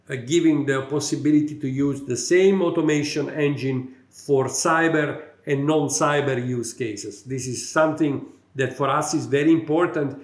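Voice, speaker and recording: A middle-aged man from Italy with an Italian accent, a company executive giving a prepared conference talk, halting with many fillers. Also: mid-range voice, reverberant.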